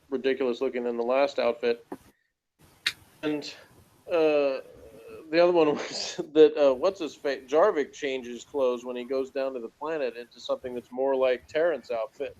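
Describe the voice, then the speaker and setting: A man's voice: medium pitch, darkish tone. Guy rambling thru a casual tv review, wry n hesitant, kinda muffled lofi audio.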